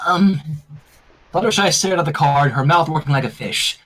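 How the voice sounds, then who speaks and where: A man's voice: a little high, normal speed, a bit bright, slight background noise, poor audio. A man reading fan fiction on a casual stream, switching between narration and chat.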